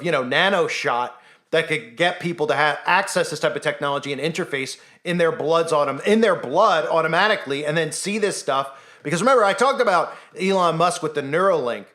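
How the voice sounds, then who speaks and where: This is a man on a commentary monologue, his voice sitting a little higher than most men's. He talks insistently and rhetorically, stacking up questions and "right?" to press his point. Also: fairly bright tone. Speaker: commentary channel host.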